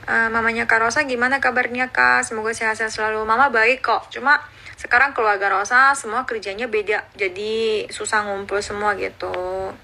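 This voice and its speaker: A woman in her thirties who grew up in Indonesia, chatting casually on a phone livestream and answering viewer comments, cheerful and quick to laugh. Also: fairly high pitch, fairly even intonation, vocal fry, pushed and bright, slight background noise. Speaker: livestreaming YouTuber. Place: outdoors or at home in Seoul.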